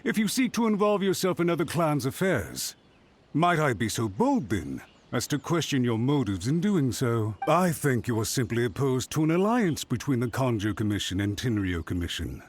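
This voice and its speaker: An actor playing a haughty, scheming government official in a video game, with a pompous, theatrical and emphatic delivery. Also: male voice, medium pitch, very animated, normal speed, slight background noise, lightly acted. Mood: defiant scheming. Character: haughty, scheming male government official, indignantly self-righteous.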